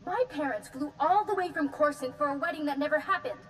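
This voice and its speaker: A wounded, furious jilted bride in a sci-fi video game, her voice pitched high. She lashes out in bitter accusations. A long reverb and faint ambience color the sound. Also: quick pace, a bit bright, a little hiss or hum.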